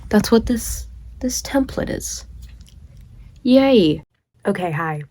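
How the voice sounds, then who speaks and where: A woman's voice: mid-range voice, animated, takes it slowly, dark tone. A tired young woman recovering from a cold, rambling casually about her writing on a late-night vlog. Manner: rambling, self-deprecating, many "like"s. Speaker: writing vlogger.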